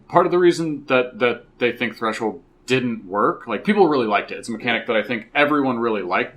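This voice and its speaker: A man's voice: medium pitch, a bit bright. A man on a podcast panel, explaining things casually in an emphatic, conversational way. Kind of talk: casual chat, explaining game design.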